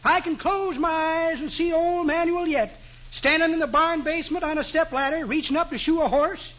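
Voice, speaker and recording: A man's voice: very high-pitched, steady tone, moderate pace, a bit bright. An actor playing a cheerful elderly man who tells rambling tall tales in a folksy drawl, in 1940s radio comedy style. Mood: spinning tall tale. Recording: muffled noisy vintage radio recording.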